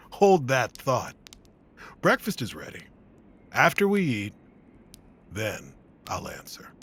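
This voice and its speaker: A man's voice: medium pitch, wildly swooping pitch, very slow, pauses often, fairly bright tone, husky, heavily acted. A man playing an earnest, loving monster-slaying warrior in a fantasy audio drama. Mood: gentle. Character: armored heroic male monster slayer, loving and earnest.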